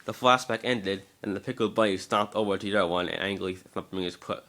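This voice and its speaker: A man's voice: deep voice, quick pace, sharp tone. A man giving an amateur scripted narration of a story, now and then stumbling over the words.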